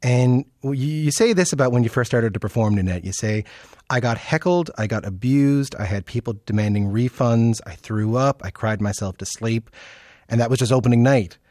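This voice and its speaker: A man hosting an interview show in a conversational style with informal asides, his voice slightly croaky as if he is losing it. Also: mid-range voice, moderate pace, darkish tone, no room sound, clean studio sound. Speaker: podcast and radio show host. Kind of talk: conversational scripted introduction and interviewing.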